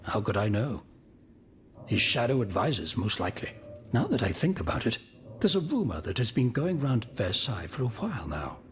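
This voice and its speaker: An actor playing an anguished, proud former royal minister in a video game, formal and wordy. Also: male voice, medium pitch, sing-song, moderate pace, lightly acted. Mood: indignant, proud. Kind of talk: video game cutscene acting.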